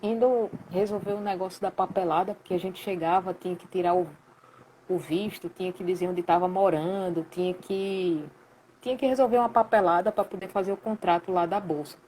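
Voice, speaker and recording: A woman in her late thirties from Recife with a Pernambuco accent, telling a story in a lively, colloquial way full of slang during a casual live chat. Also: on the low side, slight background noise, low-quality recording. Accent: Pernambuco Portuguese. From Recife, Brazil. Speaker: guest, sound professional.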